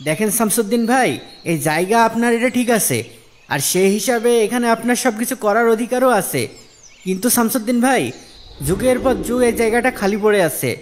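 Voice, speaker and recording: A man's voice: extremely high pitch, a little fast, slight background noise. A man playing an earnest, reasonable villager in a moral cartoon, speaking politely and persuasively. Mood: earnest, pleading. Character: earnest, reasonable male villager pleading for neighbours. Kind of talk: Islamic moral cartoon dialogue.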